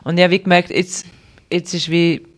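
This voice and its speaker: A young woman speaking with a Swiss German accent, talking as a guest on a casual podcast. She rambles along in a fairly flat, even tone, circling back and restarting her sentences with plenty of fillers. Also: fairly low pitch, moderate pace. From Switzerland. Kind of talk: casual conversational interview.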